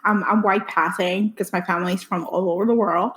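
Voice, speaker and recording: A woman's voice: medium pitch, fairly even intonation, fairly quick, poor audio. A woman from Puerto Rico hosting a book podcast, asking questions and sharing her own experiences in a casual interview. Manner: friendly, gives frequent quiet affirmations. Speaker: podcast host.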